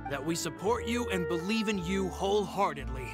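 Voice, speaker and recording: A man voicing a courteous, devoted aide in a video game, speaking in a polite, measured way. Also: sits high, expressive, music in the background. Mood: sincere. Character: courteous, devoted male aide to his boss.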